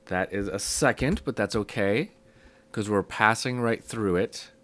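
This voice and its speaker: A man who is sick, his voice low and rough, teaching a casual music theory lesson and thinking aloud with frequent ums. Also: unhurried, a bit bright.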